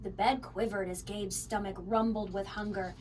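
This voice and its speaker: A woman reading a children's story aloud in an expressive, dramatic way. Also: mid-range voice, moderate pace, recorded from a distance, slight background noise. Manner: animated, dramatic. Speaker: storybook reader.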